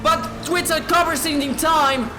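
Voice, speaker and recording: A woman commentating a sim racing event with lots of swearing, shouting at big moments and dropping low to spare her sore throat. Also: fairly even intonation, a little slow, noisy background. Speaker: race commentator. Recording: echoey room with music under it. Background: background music.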